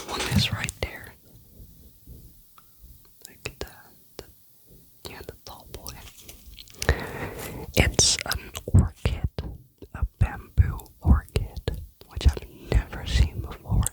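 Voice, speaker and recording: A vlogger telling a rambling, chatty personal story in a soft ASMR whisper. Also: low-quality recording. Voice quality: whispering throughout.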